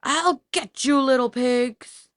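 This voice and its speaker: A woman's voice: high-pitched, slow, dry recording, studio quality, voice pushed up. A woman voicing a sly, boastful male big bad wolf for a children's storybook, sneering and theatrical. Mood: furious. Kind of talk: children's storybook character reading.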